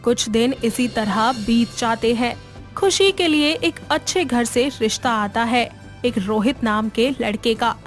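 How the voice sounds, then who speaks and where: Higher-pitched woman narrating a story. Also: unhurried, faint music behind. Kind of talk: scripted children's moral-story narration.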